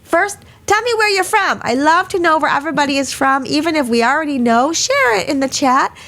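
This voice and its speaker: Chatty woman host, slightly croaky. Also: sits high, fairly bright tone. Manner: chatty, greets many attendees by name. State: hoarse from a cold. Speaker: webinar host. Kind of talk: casual live webinar hosting. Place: small studio. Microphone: studio mic.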